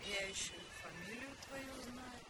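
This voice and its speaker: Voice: medium pitch, measured pace, lightly acted. An actor playing a bossy, anxious employer in a radio drama, speaking in an insistent, questioning way. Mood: controlled, knowing. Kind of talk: modern Russian radio drama dialogue. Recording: loud music under dialogue.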